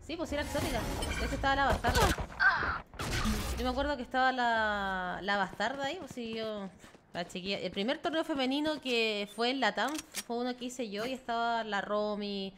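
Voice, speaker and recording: Woman, young, Chilean accent with Argentine touches, esports caster, high voice, excitable, game noise behind. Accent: Chilean Spanish with some Argentine touches. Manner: excitable, quick bursts of exclamations. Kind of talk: live game commentary. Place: home room, indoors. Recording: clear background noise from game sounds.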